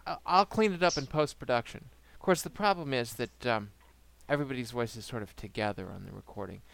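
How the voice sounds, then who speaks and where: A man's voice: medium pitch, a little fast, vocal fry, fairly bright tone, no room sound. A man hosting a casual podcast chat who keeps up dry, teasing banter. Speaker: podcast host.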